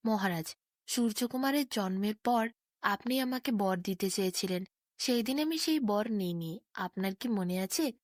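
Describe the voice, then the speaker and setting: A woman's voice: mid-range voice, animated, measured pace, dry recording, studio quality, close to an everyday voice. A woman voicing an ambitious, scheming younger queen in a fairy tale, formal and insistent. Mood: purposeful.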